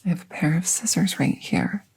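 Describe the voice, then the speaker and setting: A woman playing a gentle magical veterinarian in an ASMR roleplay, soothing and reassuring in a hushed, breathy voice. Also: lowish voice, moderate pace, heavily acted, rough recording. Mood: calm, reassuring.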